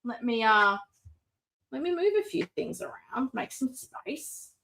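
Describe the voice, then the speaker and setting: A high-voiced woman chatting on a live stream, her pitch bouncing all over as she talks. She reads out viewer comments and jokes about herself while she unboxes a figure. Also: animated, measured pace, gravelly, fairly bright tone. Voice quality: self-described booming voice. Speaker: host of a live toy collecting show. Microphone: desk mic, moved away at times.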